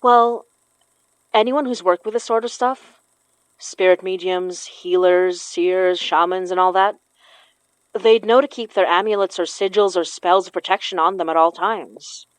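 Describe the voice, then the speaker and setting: A woman's voice: fairly low pitch, steady tone, unhurried, clean tone, dry recording, natural voice, low-quality recording. A woman acting in an audio horror drama as an earnest, knowing spirit medium who blames herself. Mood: explaining, serious. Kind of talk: audio fiction podcast acting.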